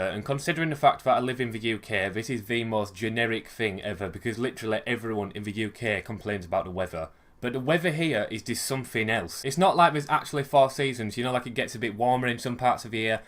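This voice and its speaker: A young man from West Yorkshire with a broad local accent rants to camera in a vlog. His voice sits in an ordinary middle pitch, and he sounds sarcastic and fed up. He swears constantly. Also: fairly bright tone. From West Yorkshire, England. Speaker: vlogger. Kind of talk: ranting comic monologue to camera.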